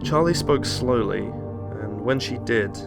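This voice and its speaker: A man's voice: medium pitch, unhurried, gravelly. A man narrating a horror novel as a scripted, dramatic audiobook reading. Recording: music under the voice.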